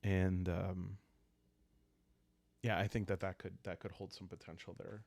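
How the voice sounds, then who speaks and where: Low, slow, gravelly man musing aloud. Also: level tone, darkish tone. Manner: hesitant, musing, thinking aloud. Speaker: solo roleplaying game host. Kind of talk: calm ASMR-style improvised storytelling.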